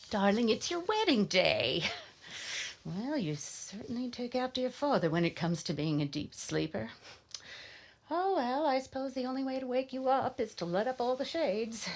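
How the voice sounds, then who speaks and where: A woman's voice: fairly high pitch, noisy background. A woman playing a cheerful middle-aged mother in radio drama, fondly coaxing and chiding. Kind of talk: live radio horror drama acting.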